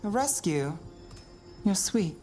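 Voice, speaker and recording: A woman's voice: mid-range voice, very animated, slow. A woman playing a shrewd, unruffled detective in a fantasy video game, with a cool, dry manner. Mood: cool, amused. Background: loud dramatic music.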